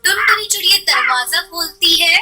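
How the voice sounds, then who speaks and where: A woman's voice: very high-pitched, expressive, normal speed, pushed and bright. A woman narrating a scripted children's cartoon story.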